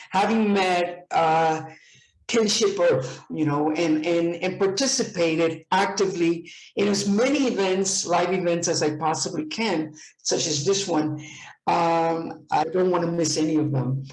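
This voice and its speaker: A woman in her seventies from Puerto Rico speaks with eager enthusiasm, doubling back on words as her thoughts run ahead. Her voice sits at a middling pitch. The call has a roomy, echoey sound. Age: 70s. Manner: enthusiastic, repeats words when excited. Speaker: participant. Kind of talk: casual video-call chat.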